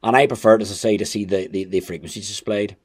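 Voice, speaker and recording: A man's voice: fairly low pitch, steady tone, quick pace, breathy edge. Chatty man reviewing gadgets. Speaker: amateur radio gadget reviewer. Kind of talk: casual hands-on product review. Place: indoors at home.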